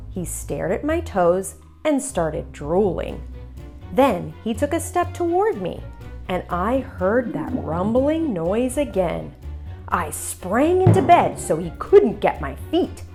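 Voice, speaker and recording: A woman hosting a children's read-aloud, telling the story in an expressive, deliberate way for young listeners. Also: medium pitch.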